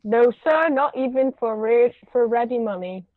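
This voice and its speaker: A woman's voice: fairly high pitch, lively intonation, normal speed, no echo, narrow, tinny audio, natural voice. A woman voicing a deferential, unflappable manservant, formal in manner, in a stage comedy table read over thin call audio. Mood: unruffled.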